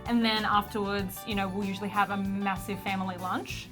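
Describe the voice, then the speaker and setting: A woman's voice: medium pitch, flat delivery, brisk, music in the background. An upbeat woman chatting about family holidays. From Australia, Croatian family. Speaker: participant in a food swap. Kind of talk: casual chat.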